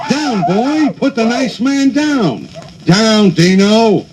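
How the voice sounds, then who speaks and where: A man voicing a loud, pompous caveman who talks with mock-formal bluster. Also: high-pitched, sing-song, slow, a little hiss or hum, heavily acted. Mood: blustery.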